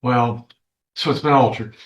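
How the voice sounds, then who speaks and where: A man's voice: mid-range voice, unhurried. A man speaking as a defense lawyer, making objections and short replies in a courtroom. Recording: roomy echo, courtroom microphone.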